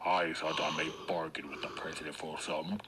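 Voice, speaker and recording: An actor playing a sly, menacing villain in a video game. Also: male voice, very deep voice, fairly even intonation, normal speed. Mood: gloating. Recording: noisy game clip.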